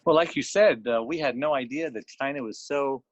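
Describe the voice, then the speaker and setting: A man co-hosts a radio segment, talking conversationally at an average pitch. His voice comes through very dry, without any room sound. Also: normal speed, dry recording, lo-fi sound.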